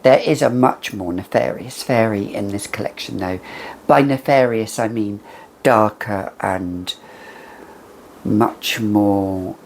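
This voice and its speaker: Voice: mid-range voice, slow pace, darkish tone, slight background noise. A perfume reviewer chatting casually and emphatically to camera, with a whimsical, conversational manner.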